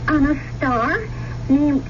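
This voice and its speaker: An innocent little boy in an old radio drama. The voice is high and slow, with a halting, childlike way of finding words. The recording is muffled. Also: background noise. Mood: innocent, earnest. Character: imaginative, innocent seven-year-old boy. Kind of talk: 1970s radio drama acting.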